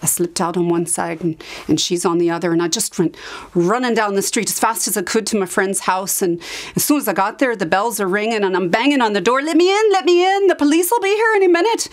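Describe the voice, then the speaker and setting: A woman's voice: mid-range voice, lightly acted. Actress as anguished, haunted woman survivor. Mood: desperate, resolute. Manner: halting, emphatic repetition, sudden drops to whisper. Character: anguished woman survivor of an Irish Magdalene asylum, recalling abuse. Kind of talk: dramatized monologue, confessional testimony.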